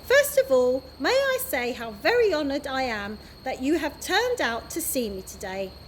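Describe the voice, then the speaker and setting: A woman's voice: high voice, big pitch swings, moderate pace, slight background noise. A woman voicing a grandly polite old male tramp who speaks in florid, formal phrases. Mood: grandiose.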